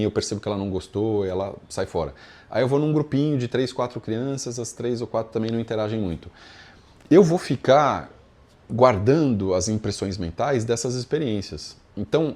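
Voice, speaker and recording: A man speaks Portuguese with a Brazilian accent in a somewhat low-pitched voice. He teaches in an informal, explanatory style, building his points through everyday examples and punctuating them with small tags that check the listener is following. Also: dark tone. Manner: conversational, didactic, frequent check-in tags. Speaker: yoga philosophy teacher.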